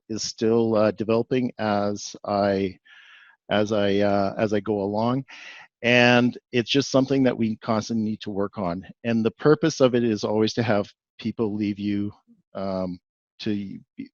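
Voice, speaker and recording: A man's voice: on the low side, steady tone, normal speed, a little mellow, no echo, dull audio. Rambling Canadian man giving a webinar. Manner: rambling with frequent fillers and restarts. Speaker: presenter. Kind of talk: webinar presentation.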